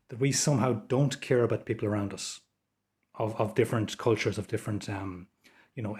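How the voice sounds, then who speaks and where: An Irish man with a fairly low voice gives informal commentary as a host. He speaks with an Irish accent in a measured, explanatory manner. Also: a little mellow. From Ireland.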